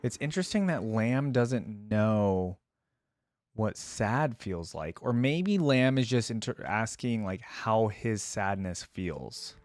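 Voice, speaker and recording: A man's voice: lowish voice, animated, slow, darkish tone, no echo. A man hosting a casual reaction video, commenting on game voice lines in a musing way and repeating phrases to himself. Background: music.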